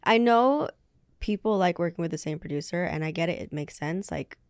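A woman's voice: fairly low pitch, expressive, brisk, dry recording, clean studio sound. A young woman from the Philippines, a singer answering interview questions casually and hesitantly, with many fillers as she searches for words. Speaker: guest singer.

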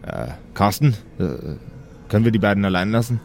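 A man playing an unsure, obliging prison guard who speaks haltingly in an improvised fantasy radio play. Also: fairly low pitch, darkish tone, faint music behind, natural voice. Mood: hesitant, obliging.

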